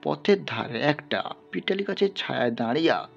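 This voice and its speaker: A man's voice: mid-range voice, lively intonation, normal speed, gravelly, muffled recording, soft background music. A man narrating a literary story in a dramatic style, as the reader of an audio tale.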